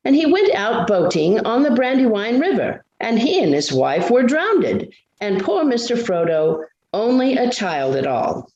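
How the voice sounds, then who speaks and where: A woman voicing an old male hobbit gardener in a storybook reading, talkative and slow, with folksy village authority. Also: a little high, big pitch swings, a little mellow, lo-fi sound. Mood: gossipy, solemn. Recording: roomy indoor echo.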